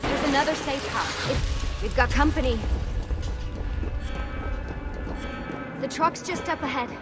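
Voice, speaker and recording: A woman's voice: high voice, moderate pace, over loud music, close to an everyday voice. An actress playing a determined young woman leading a covert team in a video game, earnest and businesslike. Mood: urgent. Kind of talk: dramatic video game cutscene acting.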